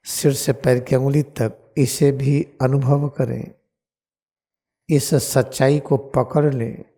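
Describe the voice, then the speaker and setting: Very slow, mellow Indian male meditation guru. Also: medium pitch. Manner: deliberate, repetitive, solemn instructing. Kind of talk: slow guided meditation instruction.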